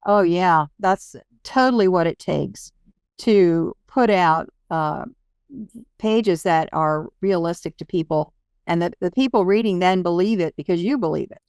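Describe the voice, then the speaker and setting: Very smooth, clear-voiced woman interviewer. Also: lowish voice, a little slow, a little mellow, dry recording, clean studio sound. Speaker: interview host. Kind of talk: casual interview conversation.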